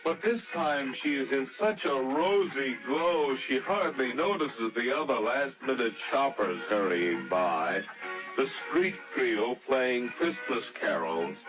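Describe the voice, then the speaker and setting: A man narrating a scripted story in a theatrical, deliberate old-time radio style. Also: mid-range voice, music in the background, poor audio.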